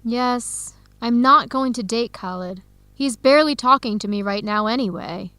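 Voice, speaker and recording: An actress playing an earnest young researcher who confides carefully and clearly in an English-learning radio drama. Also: female voice, fairly high pitch, normal speed, bright, forceful tone, dry recording. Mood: sad, hopeless.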